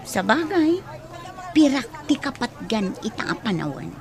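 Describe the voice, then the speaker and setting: A woman's voice: on the high side, sing-song, unhurried, creaky, exaggerated voice. A woman with an Ilocano accent acting a blunt, money-minded servant in a radio comedy drama. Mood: excited, nosy.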